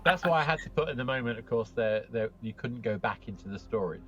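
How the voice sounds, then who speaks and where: A man's voice: medium pitch, expressive, quick pace, a bit bright, lo-fi sound, faint music behind. A man hosting and running a game, chatting casually with his players in a group debrief. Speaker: host and game master.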